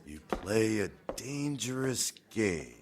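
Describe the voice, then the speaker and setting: Suspicious plotter, low voice, lively ominous delivery, costume audio drama. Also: male voice, slow, full character voice. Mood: suspicious, menacing. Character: suspicious, menacing male conspirator, wary and knowing. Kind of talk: period audio drama acting.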